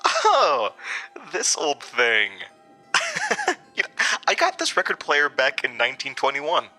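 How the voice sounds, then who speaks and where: A man's voice: a little high, wildly swooping pitch, takes it slowly, sharp tone, faint music behind, voice pushed up, heavily acted. A man voicing a supernatural man in a hat in a horror audio drama, gloating, mocking and playfully showy.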